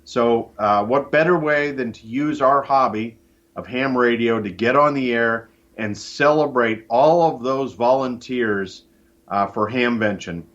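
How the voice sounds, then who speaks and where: A man's voice: medium pitch, measured pace, fairly bright tone. A man from the United States, a guest on a live show, explaining things conversationally with promotional enthusiasm. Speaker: guest, contest organiser. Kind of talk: conversational live interview by call-in. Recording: dull, band-limited call-in line.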